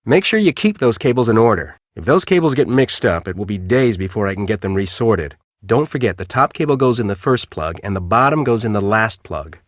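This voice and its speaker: An actor playing a gruff, cynical, bossy storm-chasing team leader, sarcastic and impatient, in a video game. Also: male voice, fairly low pitch, expressive, brisk, few pauses, dry recording, clean studio sound, natural voice. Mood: pressing.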